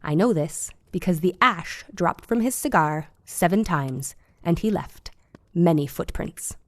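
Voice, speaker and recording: An actress playing an earnest, eccentric Victorian woman doctor in an audio drama, telling things in a formal, deliberate way. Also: fairly low pitch, expressive, no room sound. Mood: solemn, confiding.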